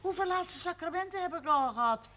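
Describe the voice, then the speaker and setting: Feisty old aunt, high-pitched. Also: female voice, animated, brisk, background noise. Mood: cheeky, defiant. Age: older. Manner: teasing, playfully cheeky. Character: feisty, witty very old aunt, teasing and defiant. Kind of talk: television period drama acting.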